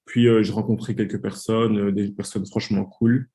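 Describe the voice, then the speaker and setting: A man's voice: fairly low pitch, level tone, normal speed, mellow. A man in his twenties from Congo, a podcast guest telling his story in a hesitant, casual way with many fillers. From Democratic Republic of the Congo. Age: 20s. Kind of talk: casual interview talk.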